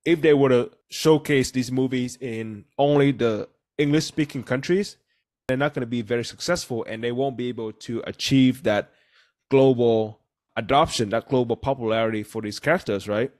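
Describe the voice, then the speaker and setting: A man's voice: medium pitch, normal speed, darkish tone. A man in his thirties, Vietnamese as his first language, hosting a casual podcast over a video call and explaining points earnestly with many "you know"s. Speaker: podcast host.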